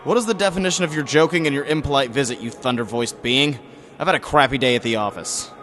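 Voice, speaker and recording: A man's voice: mid-range voice, quick pace, fairly bright tone, natural voice. A man playing a grumpy, reluctant monster-hunting hero in a comic audio drama, sarcastic and exasperated. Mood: irritated. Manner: exasperated, stilted heroic phrasing with slangy gripes. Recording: music behind the voice.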